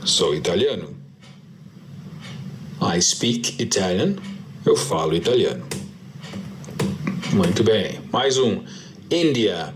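A man with a Brazilian Portuguese accent teaching English, modelling short answers slowly and repeating them for practice. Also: sits low, very animated, background noise. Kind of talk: slow drill practice lesson.

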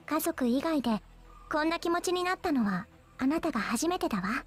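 High-pitched, teasing anime girl archer. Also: sing-song, a little fast, faint music behind. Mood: soft, affectionate. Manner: coy, teasing, theatrical. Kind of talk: Japanese anime game voice acting.

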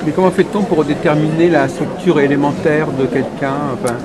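A man asks long, rambling questions, feeling his way through lists of words. His voice sits a little high. Clear crowd chatter surrounds him in a busy fair hall, captured on camcorder. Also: brisk. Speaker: interviewer. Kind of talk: casual interview questions.

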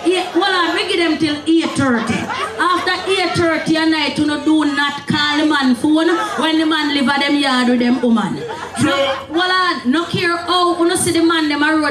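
A woman in her thirties with a Jamaican accent doing loud, emphatic stand-up comedy into a handheld stage microphone. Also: sits high, fairly even intonation, normal speed, far from the mic. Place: stage at night. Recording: echoey stage PA from afar, noisy crowd.

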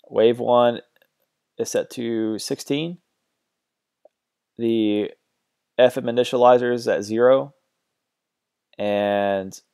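A man talking through a software tutorial in a relaxed, hesitant way, with many ums and uhs as he describes settings. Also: lowish voice, clear, resonant tone. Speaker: music production tutor. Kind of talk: screen-recorded software tutorial.

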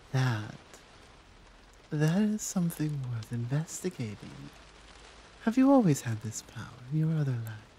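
Woman doing a caring tigress monk for asmr, really slow and soft, breathy, dark mellow tone, confiding and earnest. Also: deep voice, heavily acted. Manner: hesitant, measured, confiding. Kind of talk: ASMR roleplay, soft-spoken.

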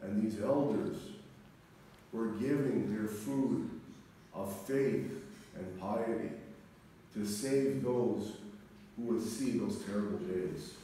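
A middle-aged man, an Orthodox priest, preaching a sermon in a solemn, deliberate manner with measured pauses. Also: on the low side, mellow. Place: large church interior, speaking from the front. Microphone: distant camera microphone. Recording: echoey church, distant mic, faint noise.

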